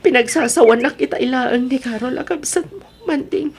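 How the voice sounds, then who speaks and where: Patient, wounded wife, female actor, Filipino accent: gentle pleading, fairly high pitch, radio drama. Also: moderate pace. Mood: plaintive, pleading. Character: gentle, long-suffering young wife, hurt but patient. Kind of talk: radio-style audio drama acting.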